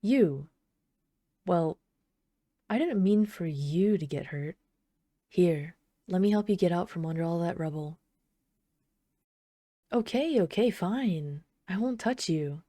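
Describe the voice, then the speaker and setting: Woman acting a mellow, low-voiced obsessive villainess. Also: lively intonation, dry recording, clean studio sound. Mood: smitten, teasing. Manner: soft, unhurried, teasing, casually menacing. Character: obsessive yandere villain, flirtatious bomber calmly toying with trapped hero. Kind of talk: ASMR roleplay, intimate scripted monologue.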